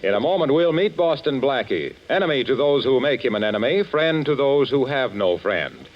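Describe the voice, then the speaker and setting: Casting: male 1940s radio announcer, very lively intonation, chatty salesman delivery, muffled old recording. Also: mid-range voice, normal speed, over music. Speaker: radio announcer and sponsor pitchman. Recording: dull vintage radio recording.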